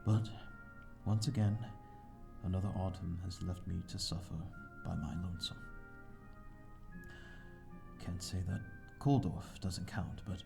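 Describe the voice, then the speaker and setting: A man's voice: deep voice, vocal fry, warm tone. A man playing a lonely, refined vampire lord with formal, wistful speech in an ASMR audio drama. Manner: formal, wistful, archaic wording.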